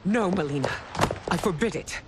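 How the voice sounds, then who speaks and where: Imperious, animated empress played by a woman. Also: fairly low pitch, moderate pace, very noisy, close to an everyday voice. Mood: alarmed, commanding. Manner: imperious, emotional. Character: proud grieving empress and mother, bitter, imperious. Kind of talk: dramatic video game acting. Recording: loud music over the voice.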